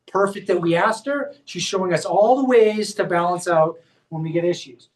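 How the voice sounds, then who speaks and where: A man's voice: sits high, normal speed, far from the mic, poor audio. A man, a chiropractor, giving a hands-on demonstration workshop and explaining each step as he guides a volunteer. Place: clinic workshop room.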